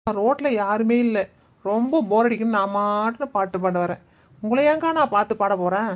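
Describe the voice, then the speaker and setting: A woman's voice: medium pitch, fairly quick, lightly acted. A woman voicing a young village woman in a Tamil cartoon, mild and questioning, soothing an upset neighbour. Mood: defensive. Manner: soothing, questioning, humming film songs. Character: young village woman Malli, gentle and puzzled.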